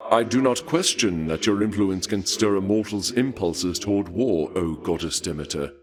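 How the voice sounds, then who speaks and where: An actor playing a suave, courtly and bloodthirsty god of war in a video game, speaking in a formal, archaic, measured way with polite menace. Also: male voice, lowish voice, close to an everyday voice. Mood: musing, courtly. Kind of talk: theatrical scripted video game dialogue.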